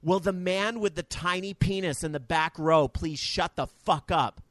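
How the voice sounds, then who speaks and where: A man co-hosting a casual podcast, telling profane, animated stories. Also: high voice, unhurried, no echo. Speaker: podcast co-host. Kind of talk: casual chat.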